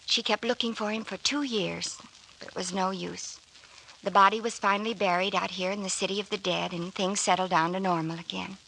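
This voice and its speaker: A woman's voice: medium pitch, steady tone, brisk, vocal fry, sharp tone. An actress playing an earnest, hesitant young woman telling her story politely in an old-time radio drama. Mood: wistful. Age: young adult. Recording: noisy old radio recording.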